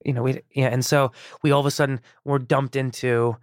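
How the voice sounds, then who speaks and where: A man's voice: mid-range voice, flat delivery, a little fast, no room sound, clean studio sound. A man who grew up in Iran and speaks Persian as his first language, telling his story as an interview guest in a rambling, candid way, full of restarts and fillers. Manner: rambling, many restarts and fillers, laughs easily.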